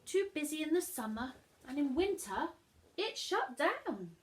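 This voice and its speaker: A woman reading a rhyming children's story aloud, as an expressive storyteller. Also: sits high, measured pace.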